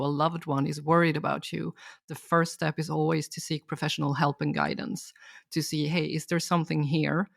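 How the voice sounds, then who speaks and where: Woman with a gravelly voice. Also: sits low, no room sound, studio quality. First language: Swedish. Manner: thoughtful, explanatory, steady. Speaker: guest expert. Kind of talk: reflective podcast conversation.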